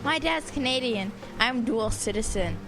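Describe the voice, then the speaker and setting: A woman's voice: a little high, animated, moderate pace. A young female actor playing a blunt, sassy, dismissive kid in an audio drama. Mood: sassy, scornful.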